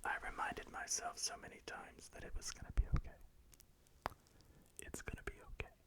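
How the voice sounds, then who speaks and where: A man whispering a slow guided meditation, softly repeating calm reassurances. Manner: slow, soft, repetitive reassurance.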